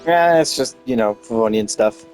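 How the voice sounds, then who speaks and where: A man's voice: mid-range voice, animated, moderate pace, darkish tone. A man giving casual, rambling and playful commentary as he plays through a video game. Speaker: gameplay streamer narrating a playthrough. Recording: music playing behind the voice. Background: video game music.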